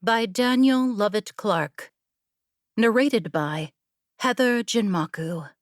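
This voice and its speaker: A woman narrating a scripted story as an audiobook, in a measured, literary storytelling manner. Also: mid-range voice, dry recording, clean studio sound.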